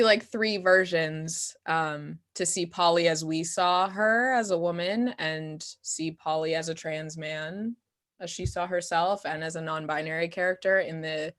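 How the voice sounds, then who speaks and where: An American woman speaking casually and giggly, answering questions after a show as an actor and playwright. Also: sits low. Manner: giggly, animated, informal. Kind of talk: casual post-show talk.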